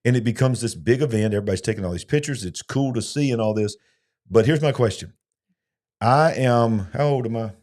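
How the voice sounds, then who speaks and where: A man's voice: mid-range voice, fairly quick, a little mellow. A man in his fifties with an Alabama Southern accent, hosting casual live commentary in a folksy way, repeating himself for emphasis. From southern United States. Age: 50s. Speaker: host of a live show.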